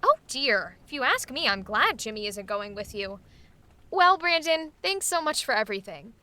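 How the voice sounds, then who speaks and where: Casting: a woman as a breezy young socialite, high bright voice, sing-song lively pitch. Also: normal speed. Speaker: radio play actor. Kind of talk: old-time radio drama recreation.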